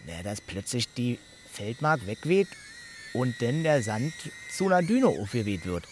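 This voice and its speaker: A prickly, accusing villager in a naturalistic radio play. His medium-pitched voice is very animated and colloquial, with a Berlin-Brandenburg accent, over clearly audible ambient noise. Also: measured pace. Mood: suspicious. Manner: colloquial, confrontational. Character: nosy, prickly, accusing village man, younger neighbour.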